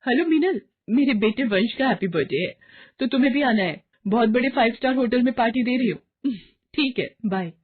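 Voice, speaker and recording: A woman voicing an excitable, greedy young daughter-in-law in a melodramatic moral-story cartoon, with a gushing, dramatic manner. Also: a little high, brisk, slightly rough, pitched above the performer's own voice. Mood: excited, boastful. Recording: muffled, narrow phone-like band.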